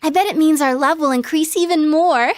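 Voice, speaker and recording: Woman acting a teenage idol girl, high voice, American accent, cutesy, coy, confident, playful anime game style. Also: fairly quick, dry recording, natural voice. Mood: sweet.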